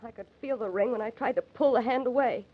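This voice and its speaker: A woman's voice: a little high, quick pace, lightly acted. An actress playing a distraught, loyal young woman in an old-time radio drama, pleading with breathless emotion. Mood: insistent. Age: young adult. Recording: dull, lo-fi old radio recording.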